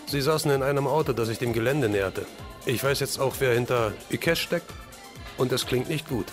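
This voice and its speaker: A man's voice: medium pitch, fairly quick, music in the background, lightly acted. A man playing a determined, serious crime-fighting hero in an audio drama. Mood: serious, urgent. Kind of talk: German fan audio drama acting.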